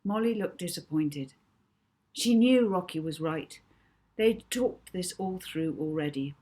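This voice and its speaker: A woman reading a children's novel aloud as expressive audiobook narration. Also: lowish voice, a little slow.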